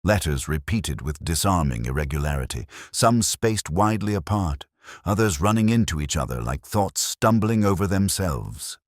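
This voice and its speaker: A man with an extremely deep voice narrates a detective story in the first person. His delivery is measured and brooding, with plenty of rise and fall, recorded close and dry. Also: expressive, no echo.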